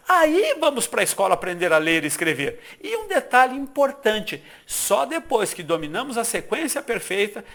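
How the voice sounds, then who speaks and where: A middle-aged Brazilian man narrating a persuasive sales pitch for his course, emphatic and full of rhetorical questions. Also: vocal fry, pushed and bright. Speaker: course founder pitching an English course.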